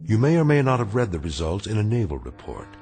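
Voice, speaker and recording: A man narrating an eerie radio drama in a grave, measured, ominous manner. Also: fairly low pitch, very animated, background noise. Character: grave male narrator of an eerie anthology tale.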